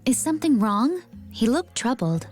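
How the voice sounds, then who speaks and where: A woman playing a composed, observant female companion in a video game, with measured, formal wording. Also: a little high, sing-song, darkish tone, soft background music. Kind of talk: video game cutscene voice acting.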